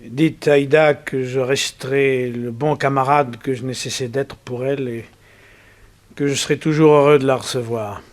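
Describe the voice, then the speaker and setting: An actor playing a bewildered, dignified gentleman with formal speech in a 1960s French radio serial. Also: mid-range voice, fairly even intonation, moderate pace, close to an everyday voice. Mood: wounded, dignified. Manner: stammering when baffled, formal.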